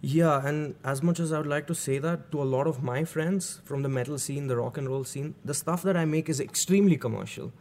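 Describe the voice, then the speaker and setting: A young man with a Bangalore accent, a music producer talking in a casual interview, animated and swearing freely. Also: fairly high pitch, fairly quick, few pauses. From South India. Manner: animated, swearing, acts out his own thoughts.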